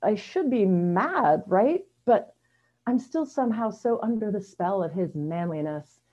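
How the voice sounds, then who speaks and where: A woman's voice: mid-range voice, lively intonation, measured pace, warm tone. A middle-aged woman reading a personal story aloud over a video call, with a wry, self-deprecating manner. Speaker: amateur storyteller at a story slam. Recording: dull, lo-fi video call audio.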